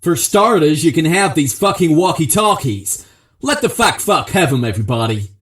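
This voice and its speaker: A man's voice: fairly high pitch, very animated, moderate pace, fairly bright tone. A man playing an indignant, defiant, foul-mouthed guest in an audio drama. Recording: echoey room.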